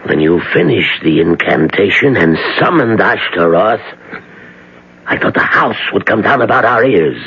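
Slow, pompous Satanist professor. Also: male voice, lowish voice, very animated, sharp tone, breathy edge, heavily acted. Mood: ominous. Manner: pedantic, deliberate, theatrical. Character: pompous, sinister male philosophy professor, master Satanist. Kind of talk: radio drama acting. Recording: muffled old radio broadcast.

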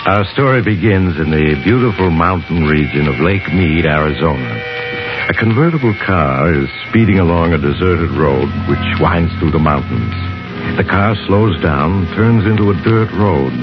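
An actor playing a suave, sinister mysterious storyteller, measured and inviting, narrating 1950s radio horror. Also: male voice, low voice, expressive. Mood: measured suspense. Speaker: host and narrator. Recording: dull 1950s radio recording. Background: loud music.

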